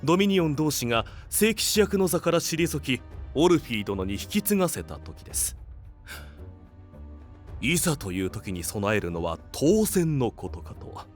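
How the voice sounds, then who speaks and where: A man's voice: mid-range voice, very animated, moderate pace, faint music behind, close to an everyday voice. A man playing a calculating fantasy council official who speaks with polite, insinuating formality. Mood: polite, urging. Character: smooth-tongued, calculating male council official in fantasy drama. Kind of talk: dramatic scripted voice acting.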